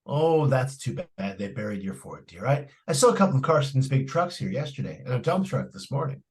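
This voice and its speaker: A man's voice: medium pitch, big pitch swings, brisk, a little mellow. A man playing a patronizing, skeptical father in an old-time radio drama, with indulgent, slightly stammering delivery. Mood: dismissive. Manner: hesitant stammers, indulgent, dismissive. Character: patronizing, skeptical middle-aged father of a young girl. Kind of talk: 1940s radio drama acting.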